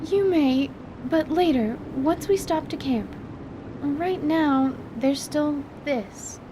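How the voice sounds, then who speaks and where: Woman playing a high-voiced, composed scholarly teacher. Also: background noise. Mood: composed, gentle. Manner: measured, formal, precise wording. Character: composed, scholarly female magic teacher, patient and reserved. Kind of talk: fantasy audio drama acting.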